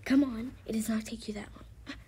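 A woman's voice: fairly high pitch, fairly even intonation, moderate pace, mellow, breathy edge. A woman voicing a stern, exasperated mother who scolds impatiently in pretend-play family roleplay. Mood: bossy, impatient.

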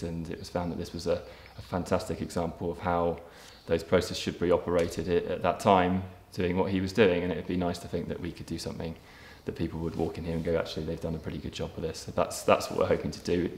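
A man in his thirties, a property developer giving a documentary interview, speaking in a measured way with frequent ums and restarts. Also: deep voice, a little mellow, slight background noise. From England. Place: empty brick factory room, indoors, large. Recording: echoey empty room, faint ambience.

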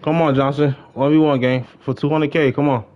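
A young adult man chatting casually as he streams a game, slangy and excitable, often repeating his phrases. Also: on the high side, brisk, dull audio. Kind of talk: casual livestream gaming chat.

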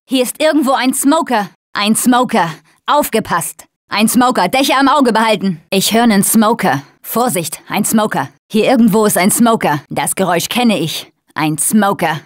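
A woman's voice: mid-range voice, lively intonation, normal speed, no room sound, clean studio sound, close to an everyday voice. An actress playing a tough young woman survivor in a dubbed zombie video game, speaking in short, urgent callouts. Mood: wary, hushed.

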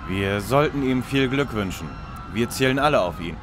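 An actor playing a brisk, skeptical young detective leader in a parody audio play. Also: male voice, mid-range voice, animated, music in the background, lightly acted. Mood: dry, skeptical.

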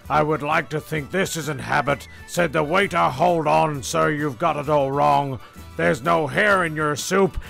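A man's voice: a little high, gravelly, sharp tone. A man narrating a comic story in a rambling, digressive way, often stumbling and backtracking over his words.

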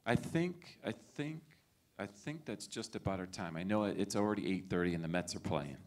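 A man's voice: low voice, animated, normal speed. A middle-aged man, a school superintendent, addressing parents at a meet-and-greet in a library auditorium on a handheld mic, reassuring and checking in with "okay?" and "right?". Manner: reassuring, with frequent tag questions. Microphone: handheld microphone.

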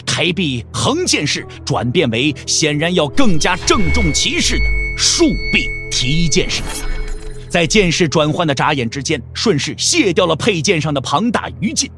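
A man's voice: on the high side, very animated, moderate pace, music in the background. A man narrating a martial-arts audio drama in a dramatic, emphatic storytelling style.

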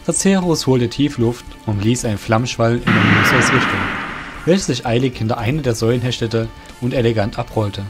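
A man's voice: mid-range voice, normal speed, over loud music. A man narrating a scripted fantasy audio drama in a literary storytelling manner.

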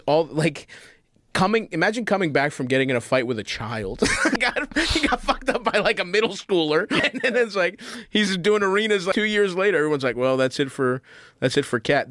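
Animated man gushing on a podcast. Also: a little high, no room sound, studio quality. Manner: animated, swears often, fast gushing enthusiasm. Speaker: podcast guest, comedian. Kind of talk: casual podcast chat. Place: studio with red curtain. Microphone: studio mic, wearing headphones.

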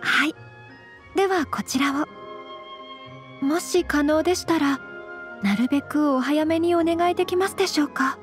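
A woman playing a young noble lady in an anime game, elegant and gentle, with formal, courteous speech. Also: high voice, moderate pace, long pauses, soft background music, close to an everyday voice. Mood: polite.

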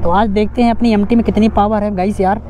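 Excited young man shouting over wind. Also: high voice, fast talker. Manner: excited teasing banter, keeps saying "bro". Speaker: motovlogger. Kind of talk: casual riding commentary. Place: outdoors on a motorbike on an open highway. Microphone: action camera mounted on the rider. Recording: loud wind and road noise.

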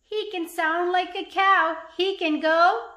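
A woman reading a picture book aloud for children in an expressive, sing-song way, acting out sound words. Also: very high voice, takes it slowly, roomy sound, very noisy. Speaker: parent or teacher reading aloud and asking questions.

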